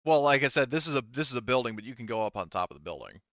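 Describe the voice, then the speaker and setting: A man running a tabletop game as game master, talking casually and haltingly as he thinks aloud. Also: mid-range voice, expressive, a bit bright, no echo, muffled recording.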